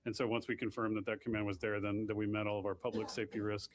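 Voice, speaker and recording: A man's voice: on the low side, level tone, fast, slight background noise. A man explaining technical launch issues at a press conference, in plain, technical answers with frequent restarts.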